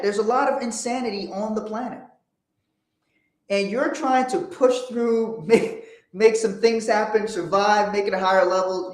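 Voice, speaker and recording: Blunt man in an echoey room. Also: high-pitched. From Liberia. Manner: blunt, profane, emphatic. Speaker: host. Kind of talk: motivational livestream talk.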